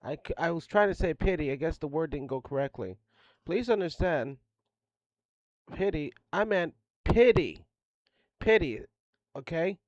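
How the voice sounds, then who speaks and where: A man speaking softly and gently in casual talk, explaining himself patiently. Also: animated, unhurried, pauses often, no echo. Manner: soft, gentle, low-key speech. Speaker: listener talking with an AI. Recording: dull, dry lo-fi sound.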